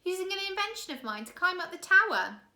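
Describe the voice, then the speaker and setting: A woman's voice: very high-pitched, big pitch swings, fast, pushed and bright, pitched above the performer's own voice, full character voice. A woman voicing a worried, resourceful orphan girl in a storybook, earnest, in a roomy space. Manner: earnest, downhearted. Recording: roomy, echoey room sound.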